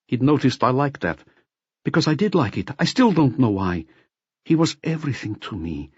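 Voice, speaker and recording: A man's voice: medium pitch, big pitch swings, normal speed, husky, dry recording, highs cut off, voice pushed up. A man voicing a nervous, intense criminal who talks in an agitated, confessional way in an audiobook. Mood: bitter, confessing. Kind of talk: audiobook character voice.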